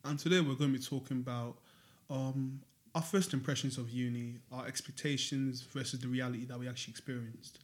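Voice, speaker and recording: A man's voice: medium pitch, fairly even intonation, fairly quick. A man in his twenties from Dublin, co-hosting a podcast, easygoing and asking the others questions. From Dublin, Ireland. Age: 20s. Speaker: podcast co-host. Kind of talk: casual chat.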